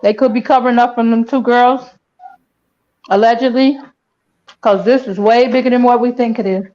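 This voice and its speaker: A woman with a fairly high voice joins a group discussion in casual, colloquial speech. Her audio is dull and lo-fi, like a cheap call connection. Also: fairly even intonation, moderate pace, warm tone. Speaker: panelist in a true crime discussion.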